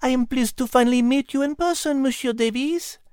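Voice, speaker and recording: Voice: high-pitched, fairly quick, darkish tone, clear, resonant tone, no echo, studio quality, pitched above the performer's own voice, close to an everyday voice. An audiobook narrator voicing a refined, polite female French cat.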